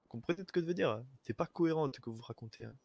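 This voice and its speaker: A man's voice: a little high, lively intonation, rapid-fire, gravelly, a little mellow, slightly rough. A man improvising as a police officer in a role-play game, speaking conversationally in an insistent, argumentative way.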